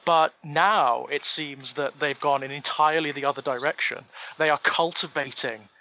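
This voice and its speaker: A man co-hosting a casual podcast conversation, speaking in a measured, articulate and slightly formal way. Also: on the high side, steady tone, bright, forceful tone, highs cut off. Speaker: podcast co-host.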